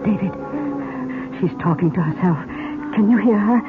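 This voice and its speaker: An actress playing an anxious, tender-hearted aunt in a 1970s radio drama, fretful and insistent. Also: female voice, medium pitch, moderate pace, over loud music, close to an everyday voice. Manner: fretful, fussing. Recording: muffled, hissy old radio broadcast.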